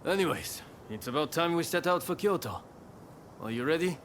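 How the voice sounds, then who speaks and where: A man's voice: on the high side, lively intonation, normal speed, a bit bright, a little hiss or hum. A man playing a shrewd, assured samurai militia leader in a video game, with an authoritative manner. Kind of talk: video game cutscene acting.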